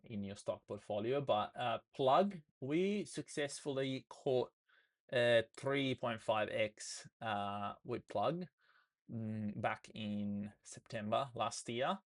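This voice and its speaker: A man with an Australian accent, hoarse and losing his voice, walking viewers through trades in a loose live session full of fillers and restarts. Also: on the low side, slow pace. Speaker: trading educator. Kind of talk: live trading session walkthrough.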